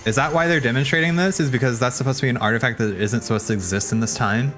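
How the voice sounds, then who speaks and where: A man hosting a livestream, commenting casually on game cutscenes in an excitable, rambling, slangy way. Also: medium pitch, racing. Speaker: livestream host. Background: game soundtrack music.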